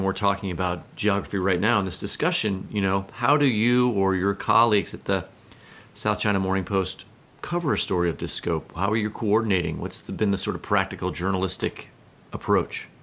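Low-voiced man hosting an interview. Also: a little fast, fairly bright tone. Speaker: podcast co-host interviewer. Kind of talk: conversational interview questions.

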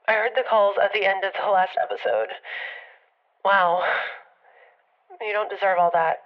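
An actress playing a hesitant, conciliatory woman who speaks haltingly and gently as she leaves a voicemail in an audio drama. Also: mid-range voice, fairly even intonation, lightly acted. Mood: consoling. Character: hesitant, conciliatory woman leaving a heartfelt message. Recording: lo-fi muffled phone message.

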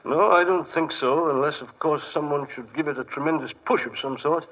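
An actor playing an excitable, fussy English gentleman archaeologist in 1940s radio style, often hesitant, with an English accent. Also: a little high, brisk. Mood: fussy, guiding. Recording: muffled 1940s radio broadcast.

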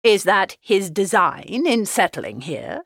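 A woman voicing a middle-aged husband and father, dry and teasing, with a deadpan, unhurried, sardonic manner, in an audiobook. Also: mid-range voice, gravelly, no room sound, heavily acted. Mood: dry curiosity. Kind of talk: dramatised audiobook character reading.

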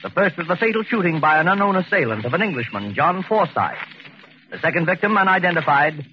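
An actor playing a grave storyteller, reading a report in measured, dramatic 1940s radio narration. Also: male voice, on the high side. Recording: muffled, noisy 1940s radio recording.

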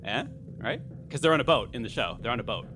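Jokey man with animated delivery. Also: mid-range voice, quick pace, over music. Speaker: show writer and ad co-host. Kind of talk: chatty, bantering ad read.

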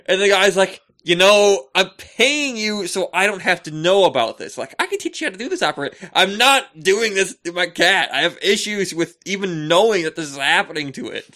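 A man co-hosting a podcast, bantering casually in slangy, goofy and excitable storytelling. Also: high voice, normal speed, hardly any pauses. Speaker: podcast co-host.

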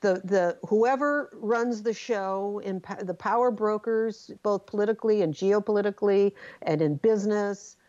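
A woman's voice: mid-range voice, normal speed, hardly any pauses, darkish tone, no room sound, muffled recording. A woman speaking as a guest in a casual political discussion, earnest and given to repeating words as she thinks.

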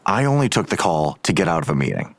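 Gruff, deep-voiced weary police detective. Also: male voice, very animated, quick pace, no echo, full character voice. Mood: curt, scornful. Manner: curt, sardonic, exasperated. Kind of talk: audio drama acting.

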